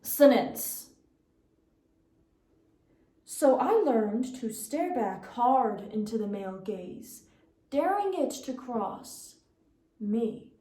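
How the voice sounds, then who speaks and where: A young woman performing a dramatic poetry recitation, with theatrical, deliberate phrasing and emphatic pauses. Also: a little high, big pitch swings, mellow. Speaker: competitive speech performer. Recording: roomy, echoing sound.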